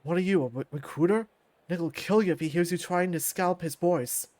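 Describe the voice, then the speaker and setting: Man voicing a gruff, terse young fighter. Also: high-pitched, fairly quick, darkish tone, dry recording, close to an everyday voice. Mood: guarded. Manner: terse, guarded. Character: gruff, weary young male underground fighter.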